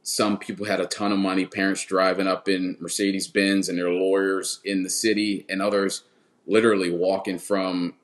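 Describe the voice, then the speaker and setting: A man's voice: low-pitched, flat delivery, moderate pace. A man in his thirties, a guest coach, talking earnestly in a casual interview and repeating words as he builds a point. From Washington, D.C. area. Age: 30s.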